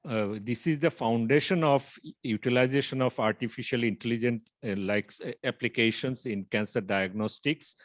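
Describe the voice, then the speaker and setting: A man in his fifties from Bangladesh, speaking, gives a measured, explanatory startup pitch over a video call with frequent filler pauses. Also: no room sound, studio quality. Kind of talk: online pitch presentation.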